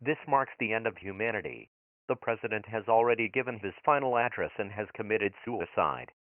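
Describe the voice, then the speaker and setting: A man playing a grave emergency alert announcer, reading formal scripted bulletins in a flat official way through a radio filter. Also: on the low side, a little fast, no echo. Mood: grim, official. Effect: radio broadcast filter. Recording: muffled, phone-like radio transmission.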